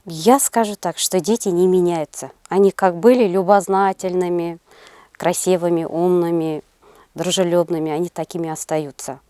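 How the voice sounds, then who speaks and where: Middle-aged woman, low voice, halting delivery. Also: fairly even intonation, mellow, no room sound. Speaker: interview guest, senior kindergarten teacher. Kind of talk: interview answers.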